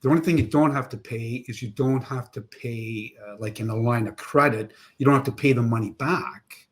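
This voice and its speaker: A man's voice: mid-range voice, normal speed. A man in his sixties hosting an interview over a video call, friendly and chatty with joking asides. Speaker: show host. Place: home office with framed certificates, indoors.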